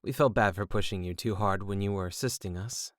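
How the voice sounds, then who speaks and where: A man playing a calm, kindly young prince and supportive brother in a fandub drama. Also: fairly low pitch, a little fast, dry recording, studio quality, close to an everyday voice. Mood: caring. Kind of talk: fandub character acting.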